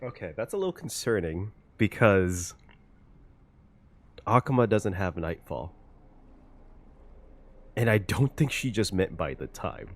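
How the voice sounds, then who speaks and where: A man's voice: lowish voice, big pitch swings, measured pace, pauses often. A man giving casual, chatty reaction commentary on a game, thinking aloud as he goes. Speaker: streamer reacting to a game story.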